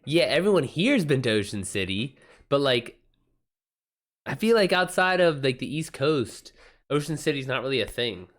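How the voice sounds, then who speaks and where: A man's voice: medium pitch, sing-song, normal speed. An American man hosting a livestream, talking casually with viewers in an informal, slangy way and swearing freely. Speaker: livestream host.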